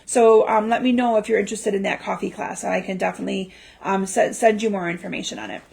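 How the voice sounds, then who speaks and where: A woman hosting a crafting livestream in chatty, casual talk full of ums, her voice slightly raspy from a lingering cough. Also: medium pitch, fairly even intonation, fast, darkish tone. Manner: chatty, lots of ums and restarts. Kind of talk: casual live-stream chat. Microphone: livestream mic.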